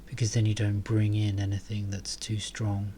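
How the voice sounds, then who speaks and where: A man softly leading a guided meditation, calm and unhurried, with long pauses between phrases. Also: on the low side, a little hiss or hum.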